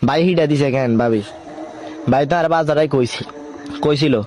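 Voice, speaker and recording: A man's voice: fairly high pitch, moderate pace, darkish tone, a little hiss or hum. A man with a Noakhali Bangladeshi accent making a prank call, teasing and persistently questioning in casual dialect. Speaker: prank caller.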